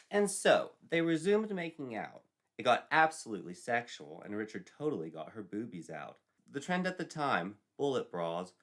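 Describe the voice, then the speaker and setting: Bright, forceful male storyteller, theatrical. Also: mid-range voice. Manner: mock-dramatic, arch. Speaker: storyteller, narrator. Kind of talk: theatrical comic scripted narration.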